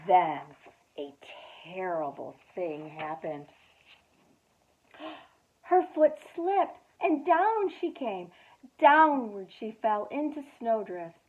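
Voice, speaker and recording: A woman reads a children's storybook aloud as a youth director, expressive and dramatic, with well-timed pauses. Her voice sits mid-range for a woman. The audio is narrow and phone-like. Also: mid-range voice.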